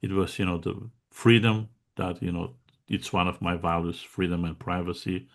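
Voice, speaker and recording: A Bulgarian-accented man speaks in a deep voice as an interview guest. He chats casually and laughs easily, and his sentences are full of fillers and restarts. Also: fairly even intonation, normal speed. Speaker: guest contributor.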